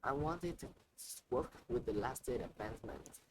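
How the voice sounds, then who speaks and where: Maria, an upbeat woman guest in the tech world, read out by a man, earnest and a little raised in delivery. The voice sits at an ordinary middle pitch. A noisy ambient hiss runs underneath. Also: lively intonation, normal speed, lightly acted.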